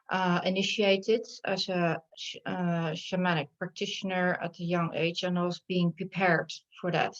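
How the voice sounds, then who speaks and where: Dutch lady telling her story, lots of ums, kinda low voice, muffled audio. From Netherlands. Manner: hesitant, many fillers. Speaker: shamanic healer, guest. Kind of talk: interview, personal story. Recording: dull lo-fi sound.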